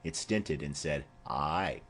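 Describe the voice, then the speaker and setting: A man's voice: extremely low pitch, lively intonation, slow pace, a bit bright, exaggerated voice. A man voicing a garrulous, fond old female nurse in a Shakespeare play, rambling and exclamatory. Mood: amused, rambling. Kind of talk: amateur-style Shakespeare play reading.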